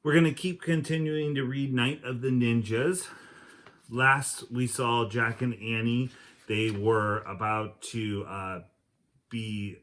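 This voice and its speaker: A man with a fairly low voice reads a children's adventure book aloud. He tells it expressively and acts out the characters' lines as he goes. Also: fairly bright tone. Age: adult. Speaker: teacher reading aloud.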